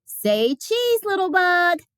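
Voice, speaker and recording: A woman voicing an excitable, stubborn small girl in a storybook, with an emphatic, whiny delivery. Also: extremely high pitch, slow, dry recording, studio quality, pitched above the performer's own voice, full character voice. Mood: excited, playful.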